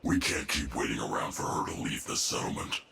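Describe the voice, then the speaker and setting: Very deep, gravelly henchman. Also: animated, a little fast, pushed and bright, reverberant, heavily acted. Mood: frustrated. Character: gruff, whiny henchman, impatient with his boss. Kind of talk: anime video game voice acting.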